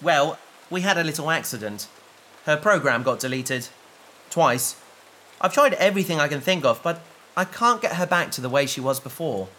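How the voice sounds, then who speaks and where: A man's voice: a little high, expressive, moderate pace, sharp tone. An actor playing an earnest, naive male space researcher in a sci-fi audio drama, speaking politely and a little pompously. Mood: earnest, hopeful.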